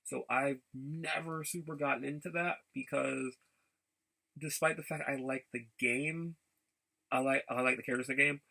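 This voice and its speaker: A man giving a casual, rambling vlog update in a gravelly voice, haltingly and often repeating his phrases. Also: medium pitch, bright, forceful tone, poor audio. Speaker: video blogger.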